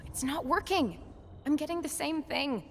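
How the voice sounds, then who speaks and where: Frustrated researcher, raised pitch, blunt, pressing, game acting, hall reverb. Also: female voice, expressive, moderate pace. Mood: frustrated, concerned. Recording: long hall reverb, faint lab noise.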